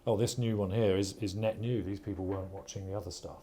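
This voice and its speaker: A man hosting a panel interview at an industry event, asking quick, chatty questions with a joking touch. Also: low voice, steady tone, warm tone.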